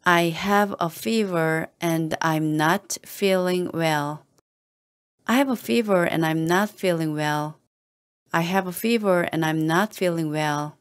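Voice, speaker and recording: A woman reading short patient sentences slowly and clearly, repeating each one the same way for learners to copy. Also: on the low side. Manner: calm, even and carefully spoken, each sentence said the same way again and again. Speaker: English teacher reading model sentences. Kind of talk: slow, deliberate repeated phrases for learners to copy.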